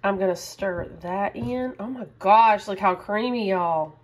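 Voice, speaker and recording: A woman's voice: mid-range voice, measured pace, a little hiss or hum. Chatty woman cooking at home. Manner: chatty, enthusiastic, says y'all often. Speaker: cooking vlogger. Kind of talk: casual cook-along chat. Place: home kitchen, indoors.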